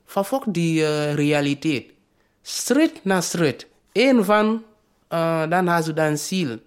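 A man's voice: on the high side, lively intonation, slow, clean tone. A man giving unscripted advice in short, emphatic, exhorting phrases.

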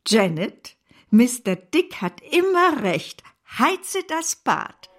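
An actress in a radio play voicing a brusque, eccentric older aunt, emphatic and commanding with a creaky edge. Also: female voice, a little high, big pitch swings, takes it slowly, over music. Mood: brisk, decided.

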